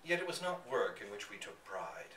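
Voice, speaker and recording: A man reading a literary story aloud to listeners in a bookstore, with theatrical, deliberate phrasing. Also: lowish voice, very animated, sharp tone. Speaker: reader at a live literary reading. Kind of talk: expressive scripted story narration. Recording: echoey room, distant mic, faint background noise.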